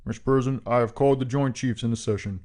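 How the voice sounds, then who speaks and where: A man playing a zealous, deadpan presidential aide who speaks gravely and formally in a comic audio drama. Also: medium pitch, quick pace, natural voice. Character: stern, zealous male presidential security aide.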